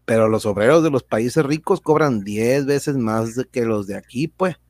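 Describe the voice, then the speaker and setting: A man's voice: mid-range voice, normal speed, darkish tone, dry recording, natural voice. Educational radio drama: indignant, folksy male explainer acted, colloquial, dry sound. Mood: explaining. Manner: colloquial, rhetorical questions, tag words.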